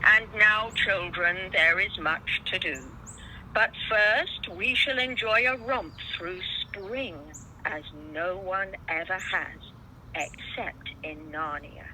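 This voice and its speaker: A woman's voice: mid-range voice, slow pace, pushed and bright, a little hiss or hum, poor audio. A woman voicing a great wise male lion, kingly and commanding, with a solemn manner, in a children's storybook reading. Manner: solemn, authoritative.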